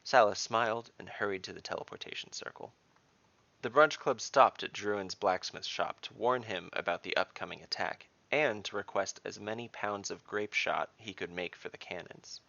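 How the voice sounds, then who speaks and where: Low, gravelly male fantasy narrator. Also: normal speed, bright, forceful tone, no echo, muffled recording. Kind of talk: scripted fantasy story narration.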